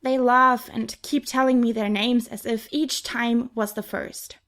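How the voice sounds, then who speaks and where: High-pitched woman narrating young adult fiction. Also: fairly even intonation, measured pace, a bit bright. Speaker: audiobook narrator. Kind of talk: scripted first-person fiction narration.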